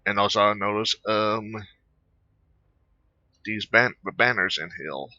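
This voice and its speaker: A man giving casual gameplay commentary in a rambling, hesitant, halting way. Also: fairly low pitch, pushed and bright, dry recording, muffled recording. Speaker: gamer narrating own gameplay.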